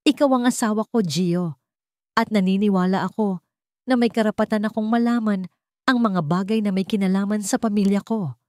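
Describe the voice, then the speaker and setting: Woman acting young wife, suspicious, emotional questioning, romance audio drama, mellow tone, dry sound. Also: medium pitch, moderate pace, no echo, voice pushed up, natural voice. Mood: hurt, confrontational. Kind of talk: acted dialogue in an audio romance story.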